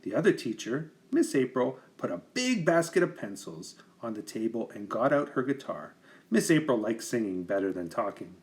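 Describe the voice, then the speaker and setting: A man narrates a children's picture book in an expressive, teacherly way. His pitch moves up and down with lots of animation, and he places careful emphasis so that young listeners can follow. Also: normal speed.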